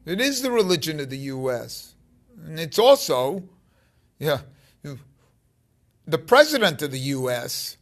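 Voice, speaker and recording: A man in his fifties giving an informal spiritual talk to his phone, halting and rambling, with emphatic stresses and a gravelly voice. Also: a little high, very animated, fairly bright tone. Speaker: spiritual teacher. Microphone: phone held close at arm's length.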